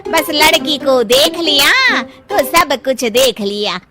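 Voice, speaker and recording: Woman playing very high-pitched bossy mother-in-law. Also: expressive, takes it slowly. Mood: eager. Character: boastful, bossy middle-aged mother-in-law, eager and easily indignant. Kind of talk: Hindi moral story cartoon acting. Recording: loud music behind the voice.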